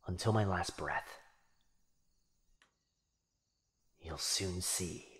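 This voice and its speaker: A man playing a sinister, possessive living shadow in a yandere ASMR roleplay, taunting in a breathy half-whisper. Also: sits low, sharp tone, full character voice. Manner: menacing, drawn-out taunting delivery.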